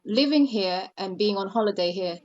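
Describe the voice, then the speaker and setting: A woman's voice: mid-range voice, lively intonation, moderate pace, a little mellow. A woman from Thailand, a guest on a casual podcast, talking at length and thinking aloud with "uh" and "you know". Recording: dull lo-fi sound.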